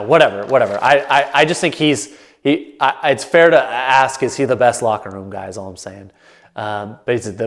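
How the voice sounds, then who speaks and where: A man hosting his own sports talk show, stuffed up and a bit nasal, thinking aloud in a loose, rambling way to the camera. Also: mid-range voice. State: congested, nasal. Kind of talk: casual solo commentary to camera. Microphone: camera mic, solo setup.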